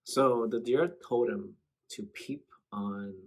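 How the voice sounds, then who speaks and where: A man's voice: mid-range voice, slow. A man hosting casual reaction commentary in a slangy, bantering way. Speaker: host.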